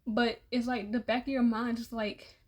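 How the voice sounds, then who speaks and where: A young adult woman, a tarot reader losing her voice, giving a casual, chatty reading that repeats itself and drops into soft murmurs. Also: on the high side, little pitch movement, moderate pace. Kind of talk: casual tarot reading for all zodiac signs.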